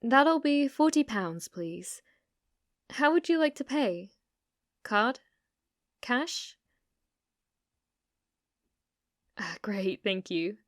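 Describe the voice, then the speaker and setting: A young British woman playing an obsessive, delusional shop cashier stalker in an intimate ASMR roleplay, halting and flustered, slipping into menacing whispers. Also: fairly high pitch, animated, no room sound, clean studio sound. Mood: polite, probing.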